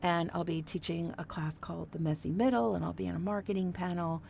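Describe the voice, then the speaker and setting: A woman hosts an online class in a loose, casual way, full of ums and quick laughs. Her voice sits a little low, with a faint hiss of noise behind her. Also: steady tone, gravelly, warm tone. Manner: casual, laughing, filler-heavy. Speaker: host. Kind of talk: hosting an online workshop.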